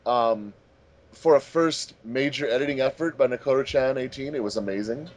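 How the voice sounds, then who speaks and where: A man with a mid-pitched voice hosts an informal group commentary. He rambles a little, hesitates as he goes and hands out compliments in a conversational way. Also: normal speed, darkish tone, highs cut off. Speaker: host of a fandub commentary track.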